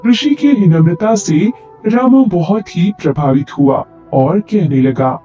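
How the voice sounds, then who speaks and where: Man narrating a story, high voice, expressive, muffled lo-fi audio with soft music. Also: measured pace. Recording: dull, band-limited audio. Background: faint music.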